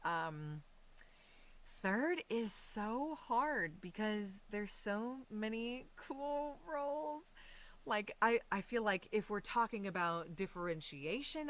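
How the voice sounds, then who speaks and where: A woman's voice: mid-range voice, a bit bright. An American woman talking casually and animatedly as an interview guest. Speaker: guest, voice and stage actress.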